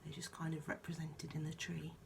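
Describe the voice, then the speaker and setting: A woman reading a children's picture book aloud in a soft-spoken, musing way, with informal, chatty asides. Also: low-pitched, monotone, a little fast, gravelly, noisy background.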